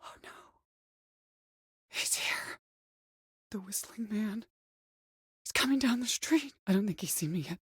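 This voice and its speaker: An actress playing a woman caller in a video game, by turns desperate, coaxing and menacing, with a hushed, insistent way of pleading. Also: medium pitch, expressive, measured pace, pauses often, breathy edge, dry recording, clean studio sound. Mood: hushed fear. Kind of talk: video game voice acting, dramatic dialogue.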